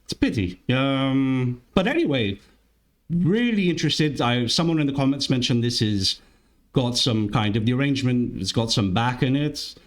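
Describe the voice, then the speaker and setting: This is a man with Italian as his first language, hosting a music reaction channel with relaxed, chatty commentary. His pitch swings widely as he muses through his thoughts. He often breaks off and restarts sentences. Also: normal speed. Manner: chatty and musing, with frequent restarts.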